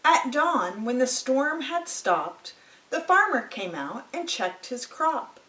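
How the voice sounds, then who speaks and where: A woman reads a picture book aloud for children with very lively, swooping intonation. She takes her time, drawing out words in a dramatic storyteller's way. The room around her voice is clearly echoey. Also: high-pitched, takes it slowly.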